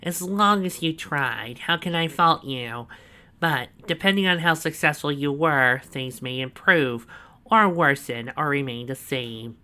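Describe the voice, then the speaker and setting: A man doing a high sneering gloating villain. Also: unhurried, sharp tone.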